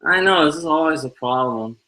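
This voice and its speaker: Bright-voiced man co-hosting a casual live stream. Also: on the high side, animated, fairly quick, poor audio. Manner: loose, joking, rambling. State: tired. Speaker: co-host. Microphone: headset.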